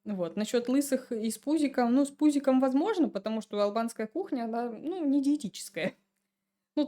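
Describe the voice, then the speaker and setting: A woman guest on a podcast, telling stories in a relaxed, chatty way and laughing often. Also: medium pitch. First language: Russian. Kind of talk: casual chat.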